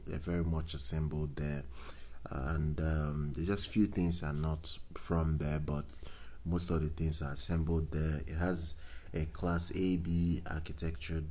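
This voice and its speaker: A man hosting a tech channel, talking through a product news item unscripted, halting often with fillers and restarts. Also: very deep voice, level tone, mellow. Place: voice-over on screen recording.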